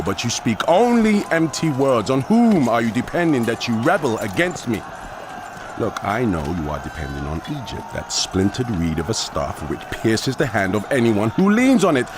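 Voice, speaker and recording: A man's voice: mid-range voice, lightly acted. A man playing an arrogant, taunting Assyrian military commander in a dramatized audio scripture, declaiming with mocking force. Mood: mocking, scornful. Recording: noisy outdoor ambience behind.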